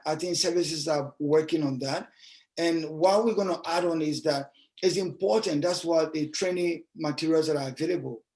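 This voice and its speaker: A man who works for a trauma service speaks on a panel in a slow, measured way, sometimes searching for words. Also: a little high, a little mellow. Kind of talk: panel discussion talk.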